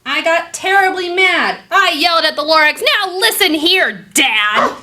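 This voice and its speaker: High, animated greedy tycoon played by a woman. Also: measured pace, exaggerated voice. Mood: angry, defiant. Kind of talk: children's puppet show storytelling.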